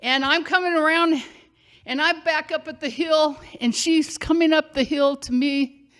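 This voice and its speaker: Woman storyteller on stage with a loud, high voice, animated and unhurried. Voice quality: loud, projecting voice. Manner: animated, conversational, acts out shouting and quotes. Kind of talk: live personal storytelling on stage. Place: theater stage.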